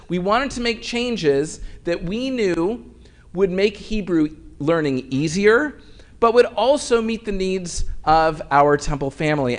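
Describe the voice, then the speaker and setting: A man of the clergy leading a synagogue service, explaining things to the congregation in a conversational way. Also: high voice. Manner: conversational and explanatory, with occasional fillers. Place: synagogue sanctuary.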